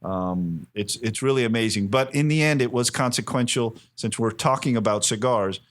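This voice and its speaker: A man's voice: medium pitch, normal speed. An American man in his fifties speaking earnestly and emphatically as an interview guest, telling stories in a conversational way. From Miami, United States. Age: 50s. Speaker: interview guest, cigar company president.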